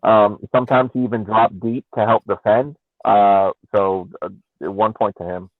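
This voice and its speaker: A man's voice: on the low side, steady tone, moderate pace, dry recording. A man giving casual sports analysis as a podcast panelist, over a thin phone-like connection. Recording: thin, phone-like call sound.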